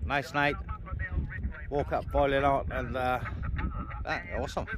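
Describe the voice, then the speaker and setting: A man in casual, unscripted chat, his voice at an average pitch. There is clear radio hiss and clicking noise around him. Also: little pitch movement, a little slow. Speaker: vlogger and CB radio operator.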